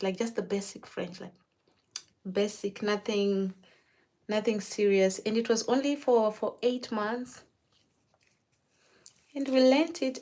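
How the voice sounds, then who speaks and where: Lady chatting w a client while doing her hair, mid pitch, keeps repeating words as she restarts, easygoing. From Zimbabwe. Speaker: hairstylist.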